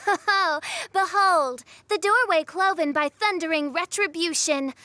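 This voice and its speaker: Grandiose teen princess for anime game: very high, bright, projected, archaic and pompous. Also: female voice, unhurried, dry recording, exaggerated voice. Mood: grandly proclaiming. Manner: pompous, archaic, flowery speech. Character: theatrical teenage girl with grandiose self-styled princess airs. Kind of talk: anime video game voice acting.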